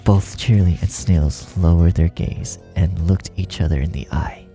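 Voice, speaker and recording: A man narrating a horror story from a script in a dramatic, gravelly voice that often sinks toward a breathy hush. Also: low voice, steady tone, normal speed, faint music behind. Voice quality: gravelly, often dropping to breathy near-whisper.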